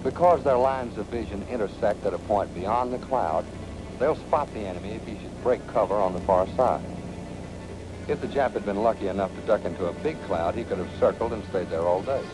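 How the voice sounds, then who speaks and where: A man's voice: on the low side, a little fast, rough recording. An American man narrating a 1940s military training film in a punchy, colloquial, dramatic storytelling manner. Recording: 1940s film soundtrack with music.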